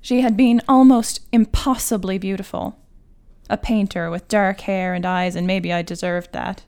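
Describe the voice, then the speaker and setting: A woman writer reading her own literary short story in a measured, articulate and wry manner. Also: medium pitch, fairly even intonation, a little mellow, clean tone.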